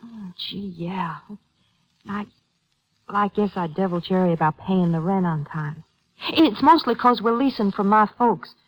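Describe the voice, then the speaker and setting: A woman's voice: medium pitch, a little slow, lightly acted, low-quality recording. A woman playing a worried, worn-out young wife and mother, hesitant and apologetic, in a 1950s radio drama. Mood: anxious, downcast. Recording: old radio recording.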